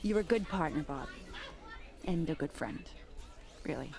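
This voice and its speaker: A woman's voice: deep voice, lively intonation, a little slow, vocal fry, crowd noise, full character voice. A woman playing a tough, troubled police detective on the run in an audio drama. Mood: weary, resolved.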